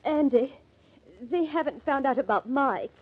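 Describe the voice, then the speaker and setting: A woman's voice: high voice, animated, a little slow. An actress playing a nervous, self-justifying social climber, pleading her way through 1950s radio melodrama. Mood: anxious. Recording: muffled old radio recording.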